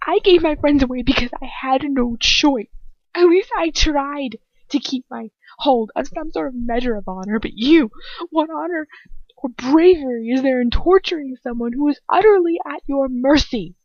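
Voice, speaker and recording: A proud young woman, read by a woman narrator speaks heatedly and defiantly. Her voice has a gravelly catch and sits in a dry, close space. The sound is dull and lo-fi. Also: high voice, normal speed, a little mellow, no room sound, pitched above the performer's own voice. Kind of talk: amateur audiobook reading of fan fiction.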